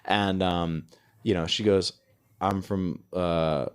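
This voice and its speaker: A man's voice: deep voice, fairly even intonation, slow pace, smooth. A man hosting a casual comedy podcast, talking in a hesitant, rambling and self-deprecating way. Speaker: podcast host and stand-up comedian.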